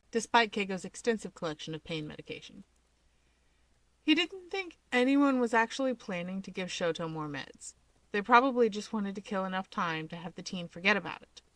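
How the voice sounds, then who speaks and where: A woman reads fiction as a narrator. Her delivery is expressive and scripted, with a bright, crisp edge at a middle pitch. The sound is dry and close. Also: dry recording. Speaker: story narrator.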